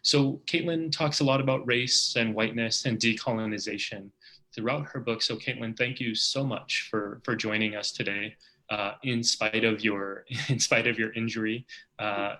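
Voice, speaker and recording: A male host opens and guides an interview in an earnest, thoughtful way, often restarting his sentences and filling pauses with "uh". His voice sits on the low side yet has a bright, forceful edge. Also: rough recording. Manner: earnest, with many fillers and restarts.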